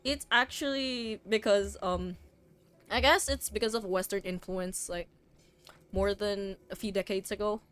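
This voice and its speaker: A woman's voice: medium pitch, fairly even intonation, measured pace, fairly bright tone, clean tone. A young woman from the Philippines, chatting casually on a stream and rambling with fillers and restarts.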